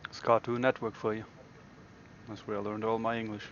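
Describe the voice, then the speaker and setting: Low-voiced man, Icelandic-Nordic accent. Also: flat delivery, fairly quick, fairly bright tone, highs cut off, slight background noise. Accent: Nordic mix, Icelandic. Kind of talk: casual voice chat.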